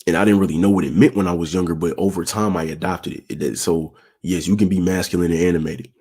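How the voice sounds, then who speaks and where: A young man with a very deep, gravelly, husky voice that stays flat and monotone. He gives dating advice to his viewers in an easygoing, conversational way and keeps checking in with the listener as he talks. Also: fast. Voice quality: low, monotone, raspy voice. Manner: laid-back, peppered with "you feel me", talks with his hands. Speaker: channel host giving dating advice. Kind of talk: casual advice talk answering viewer questions.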